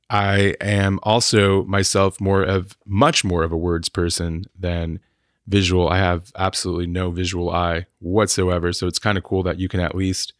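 A man with a deep voice hosts a podcast and asks his guest earnest, easygoing questions. He is recorded close and dry, with no room sound around him. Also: no echo. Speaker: podcast host. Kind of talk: podcast interview.

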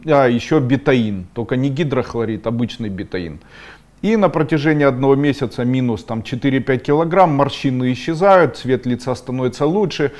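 Middle-aged guy reading chat questions on a webinar, blunt and scolding, mid pitch. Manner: blunt, scolding, with sharp rising outbursts. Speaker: esoteric webinar host answering viewer questions.

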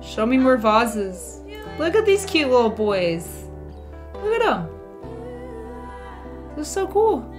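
A woman's voice: fairly high pitch, sing-song, slow pace, pauses often, music in the background. A young woman streaming from her home room with a sore voice, chatting playfully with her viewers while she plays a game. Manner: chatty, playful, talks to chat. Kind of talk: casual live-stream chat while gaming. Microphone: desk mic set back.

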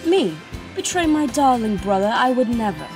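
A woman's voice: a little high, sing-song, moderate pace, over music. A woman playing an earnest, insistent young princess in a fan audio drama. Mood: playfully mocking.

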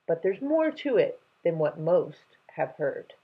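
A woman voicing a kindly, regal king in a storybook, speaking in a measured, emphatic way. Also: lowish voice, sing-song, husky, narrow, tinny audio, heavily acted. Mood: earnest. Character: kindly, regal male king telling family history.

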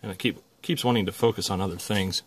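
A man with a fairly low voice walks viewers through a workshop job. He speaks casually and without a script, in a folksy way, as if talking himself through each step. He is recorded indoors on a phone camera. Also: fast talker, slight background noise. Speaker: repair tutorial presenter. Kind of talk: casual unscripted hands-on demonstration. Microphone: handheld phone camera.

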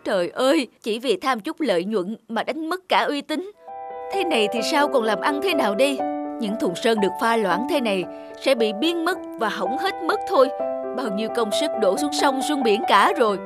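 A woman voicing a greedy, regretful village trader in an animated folktale, lamenting dramatically. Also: a little high, normal speed, lightly acted. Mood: despairing. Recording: music behind the dialogue.

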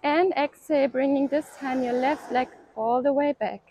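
A woman leading a home yoga workout, calmly cueing breaths and poses and encouraging the listener to keep going. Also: high voice, normal speed, a little hiss or hum, poor audio. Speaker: yoga instructor. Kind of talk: guided workout instruction.

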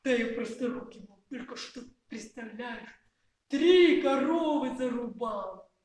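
A woman's voice: a little high, expressive, unhurried, a little mellow. A woman voicing an offhand, blunt male butcher in an amateur puppet play. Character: casual, refusing male butcher. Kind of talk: amateur puppet theatre acting. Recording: very echoey room, distant mic.

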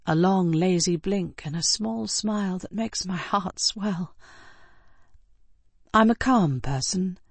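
A woman reading scripted first-person fiction as an audiobook narrator, in an expressive, dramatic way. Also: fairly low pitch, slow, slightly rough, dry recording.

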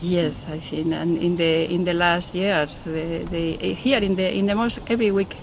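Middle-aged woman, Spanish accent, native Spanish speaker, lowish voice, halting delivery, clear background noise. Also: fairly even intonation, poor audio. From Spain. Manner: halting, searching for words in non-native English. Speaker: interviewee. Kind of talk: casual group interview.

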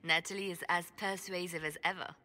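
A woman's voice: lowish voice, fairly even intonation, normal speed, sharp tone. An actress playing a composed, wry and reflective female spymaster in video game dialogue. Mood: wry amusement. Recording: long hall reverb.

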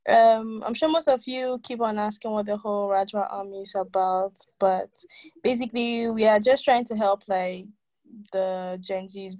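A woman's voice: medium pitch, fairly even intonation, moderate pace, a little mellow, no room sound. A young woman, a team lead, introducing herself in an easygoing way over a video call. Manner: easygoing, laughs while talking. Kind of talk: casual meeting talk.

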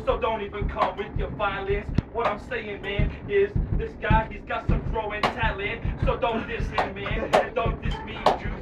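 A young man with a high, bright voice spits rhythmic, taunting freestyle rhymes in a rap battle. He sounds set back from a handheld camera inside a train carriage. Loud music plays behind him. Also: little pitch movement, normal speed, hardly any pauses, far from the mic, lo-fi sound. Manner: rhythmic, taunting, rhyming boasts. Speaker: freestyle rapper. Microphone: handheld camera filming from a distance.

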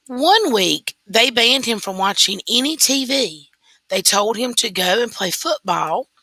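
Slow, bright-voiced woman reading aloud. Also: medium pitch, no echo, low-quality recording. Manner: slow, deliberate read-aloud.

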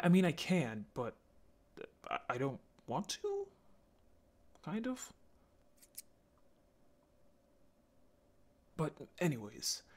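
Man voicing a young male zombie, medium pitch, halting, stammering, apologetic, gentle, intimate audio drama. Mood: awkward, hesitant. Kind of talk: intimate audio roleplay acting.

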